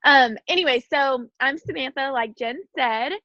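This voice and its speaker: A woman's voice: high-pitched, normal speed, sharp tone, no room sound, highs cut off. A woman in her twenties, slightly stuffy from sneezing, chatting casually about her story on a video call from outdoors. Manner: chatty, giggly, many fillers. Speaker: guest speaker. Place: outdoors in the wind.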